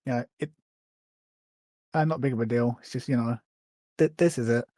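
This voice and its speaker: A man's voice: medium pitch, normal speed, warm tone, no echo, studio quality. A man co-hosting a podcast in casual chat, hesitant, with many ums and restarts. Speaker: podcast co-host.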